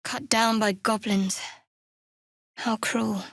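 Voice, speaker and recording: Fantasy game companion: young female cleric of a dark goddess, higher-pitched, measured and sardonic, recorded close and dry. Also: flat delivery, dry recording. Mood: sober. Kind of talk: video game voice acting. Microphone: close, dry studio mic.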